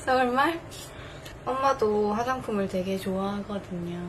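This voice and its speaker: A woman's voice: medium pitch, moderate pace, very noisy. A young woman in her twenties with a Busan accent, chatting casually as a beauty vlogger in her bedroom, laughing often. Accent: Busan Korean. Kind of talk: casual makeup chat. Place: bedroom, indoors.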